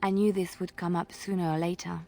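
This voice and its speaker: A woman's voice: fairly low pitch, little pitch movement, brisk, vocal fry, a little mellow. An actress playing a devout, dreamy young woman bard in a video game, earnest and wistful. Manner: earnest, wistful, drops to a whisper.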